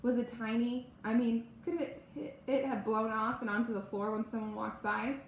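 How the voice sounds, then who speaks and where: A woman voicing a weary but sweet, indulgent mother in a storybook, gentle and lilting. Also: a little high, fairly even intonation, moderate pace, close to an everyday voice. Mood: weary, puzzled. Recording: roomy echo, faint background noise.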